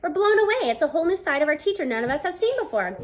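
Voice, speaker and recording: A woman in her thirties reading a children's novel aloud as an expressive narrator, recorded at home on a device mic. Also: very high voice, racing. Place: small room at home, indoors.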